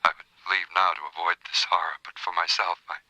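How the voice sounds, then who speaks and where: An actor playing a grave, scholarly archaeologist haunted by demons, speaking solemnly in a horror film's recorded journal. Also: male voice, low-pitched, flat delivery, sharp tone, dry recording. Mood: grave dread. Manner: solemn, measured, formal scholarly diction. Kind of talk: scripted horror film recorded-journal narration. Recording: noisy old reel-to-reel tape playback.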